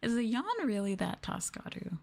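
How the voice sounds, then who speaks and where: A woman streamer talking softly and gently in a casual ASMR style, chatting with her viewers, sounding a little sleepy. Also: mid-range voice, moderate pace, gravelly. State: sleepy, winding down. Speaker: virtual streamer hosting a live stream. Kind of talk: ASMR soft-spoken casual chat with viewers.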